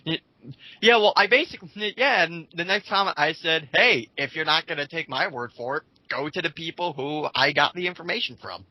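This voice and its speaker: A man co-hosting a casual reading of online comments, arguing in a halting, indignant way. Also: on the high side, pushed and bright, no echo, poor audio. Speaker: co-host reading and reacting to comments.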